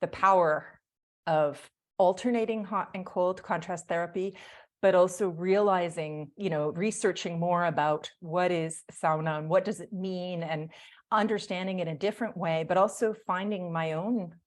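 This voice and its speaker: A woman's voice: fairly low pitch, fairly even intonation, moderate pace. A middle-aged woman from Canada, an author chatting as the guest in a video call interview, talking with frequent ums and self-corrections. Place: indoor home room. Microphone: earphone headset on video call.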